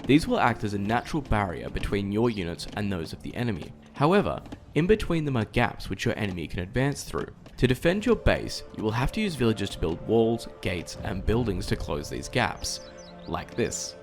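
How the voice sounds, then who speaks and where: A man's voice: lowish voice, sing-song, normal speed, soft background music. A man narrating a scripted game tutorial in a precise, explanatory, directive way.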